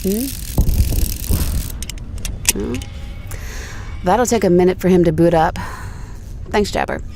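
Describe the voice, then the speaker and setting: Young female spacefarer: slow, lowish voice, wry and casual, companionable captain, sci-fi audio drama with music beneath. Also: mellow. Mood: wry, brisk.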